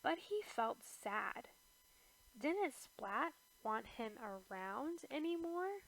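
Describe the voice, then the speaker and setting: Slow, high-voiced woman reading a storybook. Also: very animated, gravelly, fairly bright tone, poor audio. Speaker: storybook narrator. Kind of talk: scripted children's read-aloud narration.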